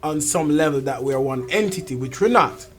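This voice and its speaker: A woman's voice: low-pitched, lively intonation, fairly quick, faint music behind. An outspoken woman talking frankly and emphatically in a casual, opinionated conversation. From Jamaica. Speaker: talk show host.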